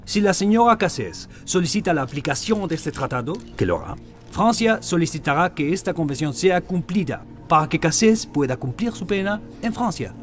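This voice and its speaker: An actor playing an assertive French president delivering formal political speeches in an emphatic, declamatory way. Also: male voice, on the high side, big pitch swings, moderate pace. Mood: firm, formal. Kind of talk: dramatised political oratory.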